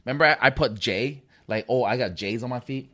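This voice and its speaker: A man's voice: mid-range voice, brisk, pushed and bright. A man chatting loosely with friends, joking and swearing freely. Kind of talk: casual chat among friends.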